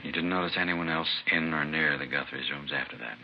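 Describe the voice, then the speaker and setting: An actor playing a gruff, matter-of-fact senior police officer in a vintage radio crime drama. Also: male voice, rumbling low, fairly quick. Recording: dull, very noisy old radio recording.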